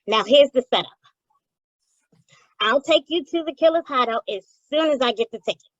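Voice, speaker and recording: A woman's voice: high-pitched, lively intonation, moderate pace, no room sound, muffled recording. A woman playing a slangy, wisecracking, streetwise and wary underworld informant in old-time radio crime comedy style. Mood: businesslike, wry. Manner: slangy, wisecracking gangland talk.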